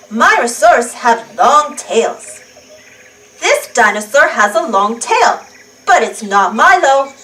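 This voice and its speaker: Female storybook narrator for preschoolers, very lively intonation, unhurried and deliberate pace. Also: high-pitched, far from the mic, poor audio. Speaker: children's storybook reader. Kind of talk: animated read-aloud storytelling for young children.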